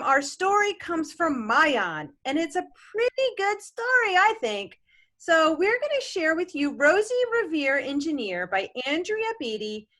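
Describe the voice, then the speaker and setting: Very animated, high-voiced woman reading to children. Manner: animated, with chatty asides to young listeners. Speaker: storytime co-host reading a picture book. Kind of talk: expressive children's read-aloud.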